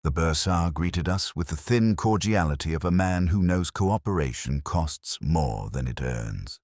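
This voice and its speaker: Very deep-voiced brooding male detective, acted by a man. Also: husky, no room sound, clean studio sound, full character voice. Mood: brooding, watchful. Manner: measured, literary, solemn. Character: brooding, observant male police detective narrating his case. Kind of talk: scripted first-person mystery narration.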